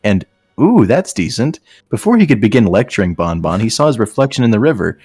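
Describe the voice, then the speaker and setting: A man's voice: lowish voice, big pitch swings, brisk, a little mellow, husky, no echo, clean studio sound, soft background music. A man reading fan fiction aloud in a mock dramatic style, with amused, incredulous casual banter.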